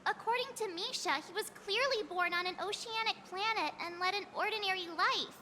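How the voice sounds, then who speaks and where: A woman's voice: extremely high pitch, bright, forceful tone, slight background noise. A woman voicing a small, inquisitive, thoughtful companion character in a video game, with a childlike voice. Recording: long reverb, faint game music.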